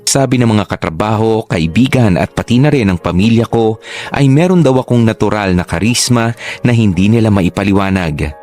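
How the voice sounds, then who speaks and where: Isang lalaking tagapagsalaysay ng dramang panradyo na taimtim na nagkukuwento ng buhay ng isang dalaga sa unang panauhan. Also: male voice, lowish voice, animated, normal speed, a little mellow, faint music behind, close to an everyday voice. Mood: earnest, reflective. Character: narrator reading a young woman's earnest life story. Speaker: radio drama narrator. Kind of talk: scripted first-person story narration.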